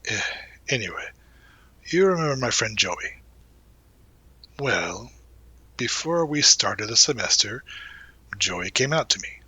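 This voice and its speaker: A man playing a supportive, gently teasing young college guy in an intimate audio roleplay, talking casually and reassuringly with hesitant pauses and murmured asides. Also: medium pitch, big pitch swings, slightly rough, no room sound, full character voice. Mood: sincere, reflective. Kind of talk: intimate audio roleplay, one-sided conversation.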